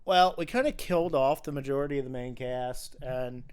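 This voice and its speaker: A man's voice: medium pitch, fairly quick, darkish tone, smooth. A man hosting a podcast, chatting casually and enthusiastically about films. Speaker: podcast host. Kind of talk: casual film discussion.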